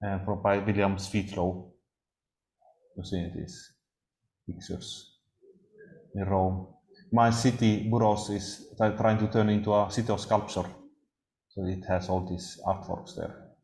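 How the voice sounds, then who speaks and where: A Swedish man giving an academic talk, speaking haltingly with frequent pauses and restarts. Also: lowish voice, steady tone, a little mellow. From Sweden. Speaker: lecturer. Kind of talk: academic discussion. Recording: roomy echo.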